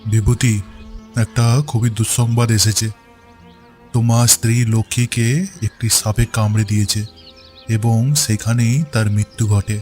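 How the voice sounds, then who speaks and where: A man voicing a kindly, wealthy village landlord in a cartoon, solemn and measured. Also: fairly low pitch, monotone, darkish tone, faint music behind, lightly acted. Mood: grave. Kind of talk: Bengali cartoon voice acting.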